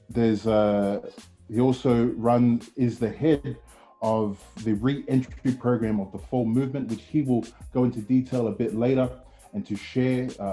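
Man, podcast host, very dark voice, hesitant fillers, faint music. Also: lowish voice. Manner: hesitant, many fillers and restarts, warm encouragement. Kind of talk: interview hosting.

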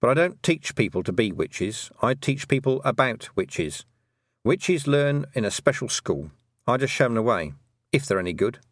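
A man's voice: medium pitch, big pitch swings, normal speed, dry recording, voice pushed up. Man as a shrewd, dry-witted witch woman. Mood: dry, brisk. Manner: dry, knowing, clipped questions. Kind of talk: audiobook character dialogue.

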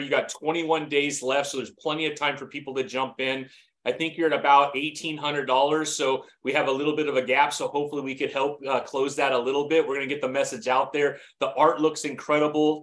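A man's voice: mid-range voice, little pitch movement, brisk, a bit bright. A man hosting an interview show, talking in an upbeat, encouraging way and often affirming the guest. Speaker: interview host. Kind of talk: casual interview chat.

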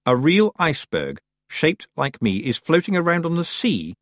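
A man's voice: mid-range voice, wildly swooping pitch, a little slow, slightly rough, dry recording, clean studio sound, voice pushed up, heavily acted. A man voicing a young witch girl in a storybook, forthright, insistent and commanding with an anxious edge. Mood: alarmed.

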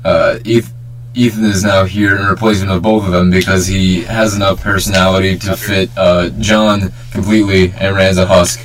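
A man's voice: sits low, little pitch movement, moderate pace, low-quality recording. A young man hosting a casual, joking group podcast chat with plenty of banter. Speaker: podcast host. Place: remote group call.

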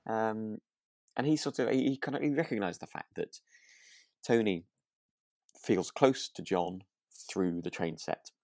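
A man co-hosting a podcast in relaxed chat, thinking aloud in loose, hesitant phrases. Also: lowish voice, unhurried, creaky, long pauses, dry recording, studio quality. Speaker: podcast co-host. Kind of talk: relaxed casual chat about a radio drama.

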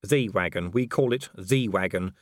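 A man's voice: lowish voice, normal speed, dry recording, clean studio sound, close to an everyday voice. A man voicing a tough, weary police commander who answers in strained, urgent retorts.

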